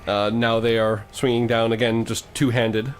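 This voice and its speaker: A man acts as game master for a tabletop fantasy game, describing the action and calling out results at a middling pitch. Music plays behind his voice. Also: little pitch movement, normal speed. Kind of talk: narrating a tabletop role-playing game.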